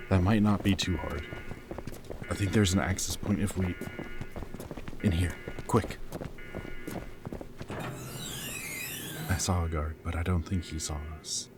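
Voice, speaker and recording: A male actor plays a very low-voiced rebel man in a dystopian audio roleplay. He is protective and defiant, with a teasing, flirty streak and a wry, intimate delivery. There is sound behind his voice. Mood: tense. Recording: background music, loud ambient noise in places.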